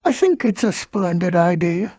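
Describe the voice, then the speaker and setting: A woman voicing a gentle, simple-minded male bear in a children's storybook, earnest and musing. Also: on the low side, sing-song, moderate pace, no echo, studio quality, pitched above the performer's own voice.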